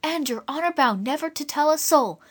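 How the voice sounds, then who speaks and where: Woman narrator as a coaxing girl; lifted pitch, gently persuasive. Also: animated, fast talker.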